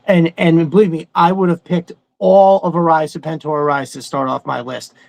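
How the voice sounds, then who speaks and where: A man's voice: on the high side, fairly even intonation, normal speed, vocal fry, a little mellow, dry recording. A man chatting casually as a podcast co-host, enthusiastic and rambling, with some swearing thrown in. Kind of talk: casual chat about cartoons.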